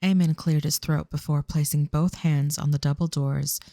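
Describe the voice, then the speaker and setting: A male narrator reads scripted fantasy prose. His voice is dark and mellow with a creaky, gravelly edge, and the recording is dry and free of room sound. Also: fairly high pitch, little pitch movement, normal speed, no room sound.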